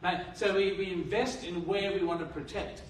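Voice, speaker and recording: Australian pastor preaching in an echoey hall. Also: male voice, high-pitched, fairly even intonation, moderate pace. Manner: earnest, repetitive restarts, rhetorical questions. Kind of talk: sermon. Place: church hall. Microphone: handheld mic. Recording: roomy hall from a distance.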